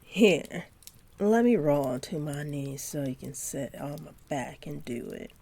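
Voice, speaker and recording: A woman playing a sweet, doting centaur girlfriend in an intimate roleplay audio, speaking softly and affectionately to her partner with gentle teasing. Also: deep voice, animated, measured pace, creaky, full character voice. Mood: pleased, shy.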